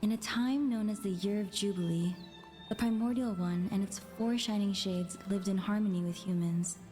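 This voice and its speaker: A woman's voice: medium pitch, normal speed, warm tone. Woman narrating a myth over music. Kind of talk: scripted storytelling narration. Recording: music under narration, played from video.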